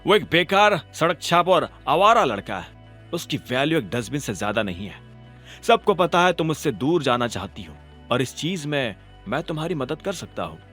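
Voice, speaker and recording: Man playing a smug, pushy rich suitor, animated. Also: fairly high pitch, moderate pace, slightly rough, faint music behind, exaggerated voice. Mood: sneering. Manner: smug, persuasive, sneering. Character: smug, wealthy young businessman, pushy suitor, condescending. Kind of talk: Hindi audio drama character acting.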